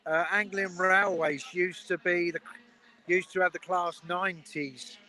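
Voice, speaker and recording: A man's voice: high-pitched, steady tone, a little slow, pushed and bright. A man hosting an outdoor livestream, upbeat, laughing often and reading out viewer comments. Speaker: host. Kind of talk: casual livestream chat. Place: outdoors at a railway station. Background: trains.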